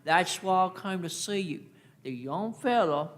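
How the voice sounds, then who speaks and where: A man's voice: high-pitched, expressive, a little slow, a bit bright. A man playing a dithering, aggrieved complainant in a radio play, hesitant, plaintive and repetitive. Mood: persistent. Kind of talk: live radio-play acting.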